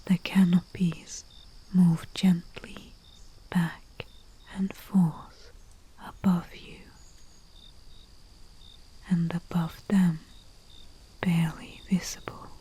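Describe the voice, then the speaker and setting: Very slow, whispering herbalist played by a woman. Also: fairly low pitch, level tone. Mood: hushed, soothing. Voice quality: breathy, whispery soft voice. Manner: slow, hushed, soothing, with long pauses. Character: humble female herbalist, soothing and deferential servant to nobility. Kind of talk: soft-spoken ASMR roleplay, guided relaxation. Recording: faint hiss with chirping night crickets. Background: crickets and night insect ambience.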